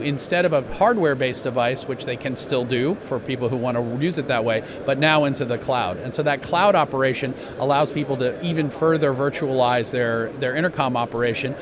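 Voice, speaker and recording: Man explaining products amid clear background noise. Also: mid-range voice. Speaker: company president being interviewed.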